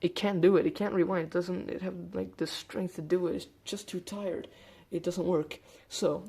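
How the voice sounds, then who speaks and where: Mellow, casual hobbyist demonstrating gear. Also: mid-range voice, fairly even intonation, normal speed, breathy edge. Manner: informal, explaining step by step. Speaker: hobbyist video presenter. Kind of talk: casual hands-on gadget demonstration.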